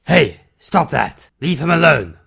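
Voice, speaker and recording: A man playing a principled, protective recluse in an audio drama, with a measured, formal way of talking. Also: a little high, sing-song, gravelly, sharp tone, slightly rough, exaggerated voice. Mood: indignant. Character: principled, protective male recluse who shelters a runaway.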